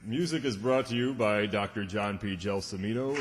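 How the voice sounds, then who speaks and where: A man's voice: on the low side, normal speed. A man announcing credits genially from a script in old-time radio style to a live audience. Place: township hall stage. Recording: echoey hall, clear background noise.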